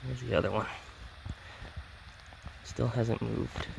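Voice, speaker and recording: A man giving casual, low-key narration as a wildlife vlogger filming outdoors from behind the camera. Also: steady tone, slow, gravelly. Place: outdoors in woods and grassland. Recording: outdoor ambient noise.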